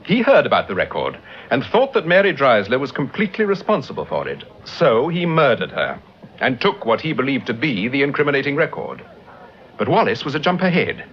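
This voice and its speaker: An actor playing a suave, articulate amateur detective in a mid-century British radio drama, with a Received Pronunciation accent. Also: male voice, mid-range voice, wildly swooping pitch. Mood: assured, explaining. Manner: urbane, articulate, measured explaining. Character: suave, assured male amateur detective, crime novelist. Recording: muffled old radio recording, background noise.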